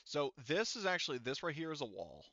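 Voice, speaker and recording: A man running a tabletop game as game master, narrating casually in an informal, halting manner. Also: on the high side, sing-song, pushed and bright, no echo. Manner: informal, halting, sometimes profane. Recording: dull, muffled lo-fi sound.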